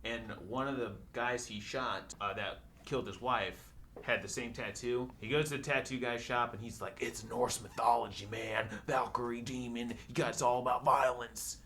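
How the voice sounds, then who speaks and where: A man's voice: mid-range voice, fairly even intonation, normal speed, a bit bright, a little hiss or hum. A man in a casual movie discussion, retelling plots in a rambling, informal way full of filler "likes". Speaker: podcast panelist.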